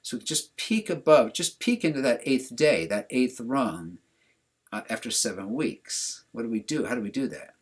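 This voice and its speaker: A man's voice: medium pitch, lively intonation, moderate pace, husky. A man teaching a religious class over a video call, talking casually and putting questions to his students. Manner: conversational, asks the class questions.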